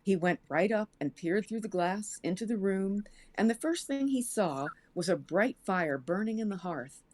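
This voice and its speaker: A woman's voice: medium pitch, expressive, normal speed, a little mellow, slight background noise. An American woman reading fiction aloud as a storyteller. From United States. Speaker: show cast member reading a story aloud.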